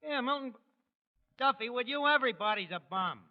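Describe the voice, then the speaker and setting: An actor playing a brash, wisecracking New York tavern manager in 1940s radio comedy, with colloquial, mangled grammar. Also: male voice, extremely high pitch, lively intonation, a little fast, very noisy. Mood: wry. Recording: dull restored 1940s radio, hall reverb.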